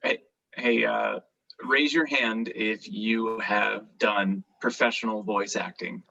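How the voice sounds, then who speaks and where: A man's voice: on the low side, steady tone, takes it slowly, creaky, pushed and bright, highs cut off. A man co-hosting a podcast in casual, joking conversation. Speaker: podcast co-host.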